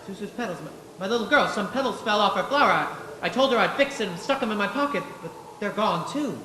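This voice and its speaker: A man's voice: sits high, animated, fast, sharp tone, slight background noise. A man playing a bewildered, desperate small-town family man in 1940s radio drama style, stammering and insistent. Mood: bewildered, upset. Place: live theatre stage. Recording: roomy stage hall with music behind.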